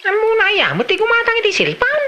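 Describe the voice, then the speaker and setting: A woman's voice: very high-pitched, wildly swooping pitch, quick pace, pushed and bright, lo-fi sound, slight background noise, heavily acted. A woman acting a reluctant, grumbling young villager in an Ilocano comedy drama, with an Ilocano accent. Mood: pleading.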